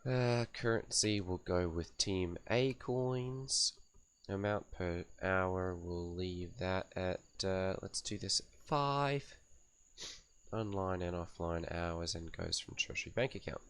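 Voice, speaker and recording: A man hosting a gaming channel, raspy and coughy from a cold, casually thinking aloud as he reads out and fills in game settings one by one. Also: low voice, takes it slowly, fairly bright tone. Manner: thinks aloud while reading out menu settings. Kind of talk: casual game setup commentary.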